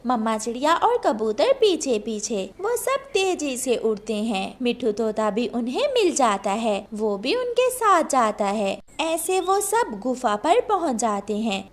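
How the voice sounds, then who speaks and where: A woman's voice: fairly high pitch, big pitch swings, unhurried, hardly any pauses. A woman narrating a scripted children's cartoon story.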